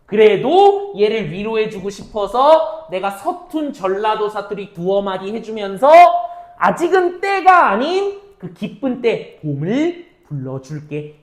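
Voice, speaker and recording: A woman teaching exam-prep literature, firing off questions and answering them herself, mixing casual and polite speech. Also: medium pitch. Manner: mixes casual and polite speech, checks understanding often. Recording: roomy echo.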